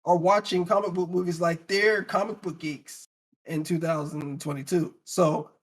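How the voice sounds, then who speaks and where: Male, slightly high register, casual panel debater, emphatic and argumentative. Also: steady tone, moderate pace, slightly rough. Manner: emphatic, argumentative, rhetorical questions. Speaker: podcast panelist.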